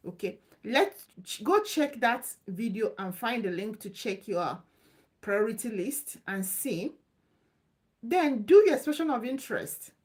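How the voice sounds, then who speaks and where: Woman, live Q&A host, casual and reassuring, fairly high voice. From Nigeria. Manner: chatty, loose and repetitive, reassuring. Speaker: live stream host answering visa questions.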